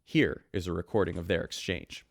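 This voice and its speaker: A man's voice: low voice, normal speed, creaky, no room sound. A man as a chatty, upbeat radio show host. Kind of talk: scripted radio drama host.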